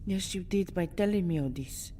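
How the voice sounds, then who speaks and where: A woman playing a proud, distraught, centuries-old vampire girl in an ASMR roleplay, theatrical, moving between breathy whispers and agitated speech. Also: lowish voice, normal speed, mellow, a little hiss or hum, lightly acted. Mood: accusing, indignant. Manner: theatrical, often dropping into breathy whispers, swelling into agitated outbursts. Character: anguished two-hundred-year-old vampire girl, proud, fiercely protective, distraught. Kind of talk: ASMR roleplay monologue, melodramatic acting.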